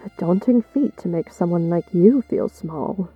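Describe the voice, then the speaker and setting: An actress playing a guarded, quietly compassionate woman traveller in an audio drama, with a terse, sober, measured delivery. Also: lowish voice, lively intonation, breathy edge, no echo, narrow, tinny audio, faint music behind. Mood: dry, curious. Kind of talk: audio drama character acting.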